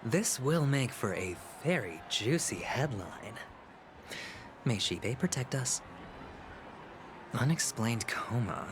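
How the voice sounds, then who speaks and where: An actor playing a sly, smooth-talking man with a sinister streak in a video game, theatrical and smirking. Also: medium pitch, animated, noisy background. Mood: sly, amused. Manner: theatrical, smirking, deliberate, breaking into whispers. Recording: music under the voice.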